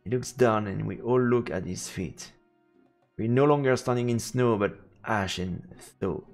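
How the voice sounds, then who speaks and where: A man streaming a visual novel, reading the text aloud as measured, dramatic narration. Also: mid-range voice, darkish tone, faint music behind.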